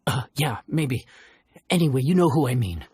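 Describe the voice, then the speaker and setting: An actor playing a humble, friendly inn chef in a video game, with a hesitant, eager manner. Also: male voice, mid-range voice, animated, normal speed, a little mellow, slight background noise, close to an everyday voice. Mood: chatty, confiding. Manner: hesitant, colloquial, eager to please. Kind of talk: animated video game voice acting.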